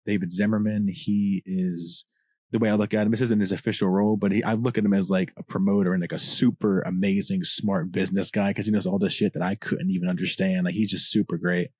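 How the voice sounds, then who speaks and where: A man with a deep voice, a rapper talking as a podcast guest, passionate, swearing often and rambling in long answers. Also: flat delivery, a little fast, a little mellow, dry recording, clean studio sound. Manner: passionate, profane, long-winded. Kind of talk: casual candid chat.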